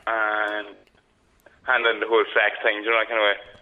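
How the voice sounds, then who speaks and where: A seventeen-year-old Irish lad as a radio guest, chatting casually and hesitantly searching for words. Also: male voice, fairly low pitch, completely flat delivery, normal speed. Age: teens. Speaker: teenage guest. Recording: dull lo-fi radio line.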